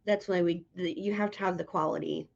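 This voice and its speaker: A woman's voice: lowish voice, fairly even intonation, a little fast, creaky, dull audio. A woman hosting a casual interview, asking questions and chatting about her own opinions. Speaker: interviewer and host.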